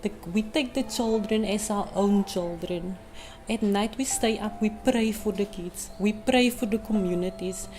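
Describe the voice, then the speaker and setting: A woman's voice: medium pitch, unhurried, a little mellow. A South African woman whose first language is Afrikaans, in her thirties, a maths tutor and mother giving an earnest, unscripted testimonial with frequent hesitant ums. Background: music.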